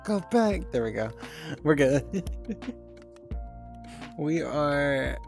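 A woman's voice: low-pitched, sing-song, extremely slow, vocal fry. A young woman streaming from home, chatting casually in a rambling, giggly way and swearing freely. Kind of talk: casual live-stream chat. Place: streaming at home, VR avatar on screen. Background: soft background music.

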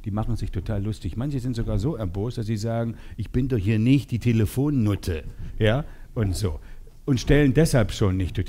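Male sales trainer, forties, soft-edged timbre, mid pitch, animated and teasing delivery for a live seminar. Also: slight background noise. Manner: animated, teasing, role-plays short dialogues. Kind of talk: lively seminar talk to participants. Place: seminar room with participants. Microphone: headset.

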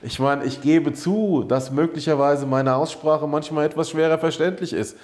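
A man's voice: fairly high pitch, fairly even intonation, normal speed, darkish tone. A middle-aged man hosting a casual studio talk show, blunt and emphatic, repeating key points and now and then swearing. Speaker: show host. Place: studio set with brick wall backdrop.

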